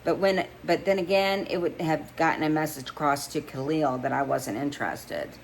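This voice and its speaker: Low-voiced woman reading a novel, noisy recording. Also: moderate pace. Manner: stumbles over words and re-reads phrases. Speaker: narrator reading a novel aloud. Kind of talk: amateur read-along of romance fiction, first-person storytelling.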